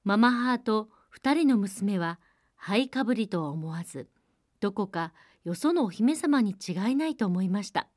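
A woman narrates in the manner of a radio fairy-tale programme. Her mid-pitched voice is measured and polite. The sound is dry, with almost no room around it. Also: animated, darkish tone, dry recording. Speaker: storyteller and programme co-host.

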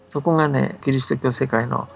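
A man's voice: mid-range voice, fairly even intonation, moderate pace, gravelly. An older man who gives casual, patient explanations as a folktale scholar, often checking that the listener agrees. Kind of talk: casual explanatory radio talk about folktales. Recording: dull band-limited audio, faint background noise.

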